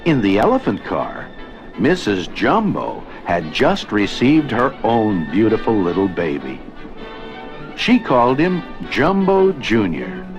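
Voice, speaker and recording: A man narrating a children's read-along storybook in an expressive, deliberate storytelling manner. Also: fairly low pitch, muffled recording. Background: music.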